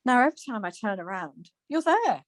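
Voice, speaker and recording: A woman's voice: fairly high pitch, very animated, fairly quick, no echo, clean studio sound. A woman acting a scatty, easily exasperated wife in a domestic radio comedy. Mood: stressed. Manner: flustered, snippy.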